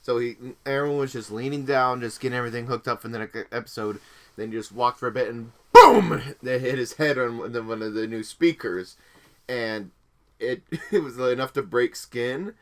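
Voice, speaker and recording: A man's voice: mid-range voice, moderate pace. A young man hosting a casual podcast, rambling through stories and laughing often. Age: young adult. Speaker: podcast host and editor. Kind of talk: casual chat.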